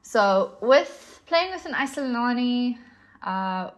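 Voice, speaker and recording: Slow-talking South African woman teaching. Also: on the high side, animated. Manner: patient, explanatory, with fillers. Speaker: chess instructor. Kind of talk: explaining chess moves on a board.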